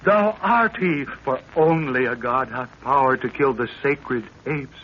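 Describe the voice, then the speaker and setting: An actor playing a pleading medieval servant addressing gods in reverent, declamatory archaic speech, in 1930s radio style. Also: male voice, mid-range voice, unhurried, natural voice. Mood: awed, pleading. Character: awestruck, pleading medieval servant who worships explorers as gods. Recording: muffled, noisy 1930s radio recording.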